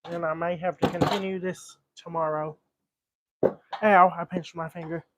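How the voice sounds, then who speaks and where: Slow-paced man with a higher-pitched voice. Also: flat delivery, noisy background. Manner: muttering to himself in short fragments. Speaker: livestream host repairing a vintage radio. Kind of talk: casual live repair commentary, thinking aloud.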